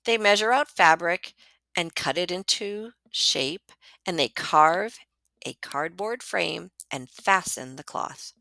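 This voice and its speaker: A woman reads a picture book aloud to children at a slow, deliberate pace. Her voice is bright and swoops expressively as she leans on key words. The sound is close and dry. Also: on the low side, lively intonation, gravelly, dry recording. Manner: dramatic, deliberate storytelling with emphasized words. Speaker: librarian reading aloud to students.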